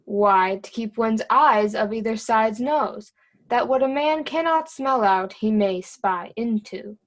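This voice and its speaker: A woman's voice: fairly high pitch, slow. A woman playing a cheeky, riddling boy jester with a teasing, sing-song delivery in Shakespeare. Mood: teasing riddles. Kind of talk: Shakespearean reader's theatre acting.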